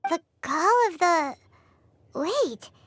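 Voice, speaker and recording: A woman voicing a small, excitable, childlike fairy companion in an anime game, squeaky and naive, full of exclamations. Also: drawn-out words, dry recording, studio quality, exaggerated voice. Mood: baffled, alarmed. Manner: exclamatory, refers to self in third person.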